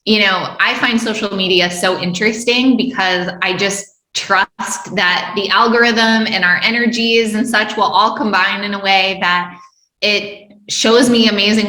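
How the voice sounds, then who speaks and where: A woman's voice: mid-range voice, bright, forceful tone, reverberant, rough recording. A woman hosting an interview podcast, upbeat and effusive as she introduces her guest and asks questions. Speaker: podcast host.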